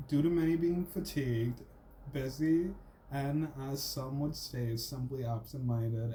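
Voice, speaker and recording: A man's voice: mid-range voice, mellow, a little hiss or hum, rough recording. A man talking casually to his viewers and then reading a school assignment aloud, full of fillers and stumbles. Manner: filler-heavy, says "like" and "freaking" often, stumbles and restarts while reading. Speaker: channel host.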